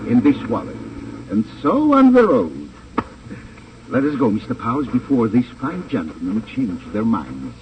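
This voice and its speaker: An actor playing a suave, unflappable German smuggler with a formal, sly, deliberate manner and a German accent in a radio crime drama. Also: male voice, medium pitch, wildly swooping pitch, far from the mic. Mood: smoothly insinuating. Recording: noisy, dull old 1950s radio recording.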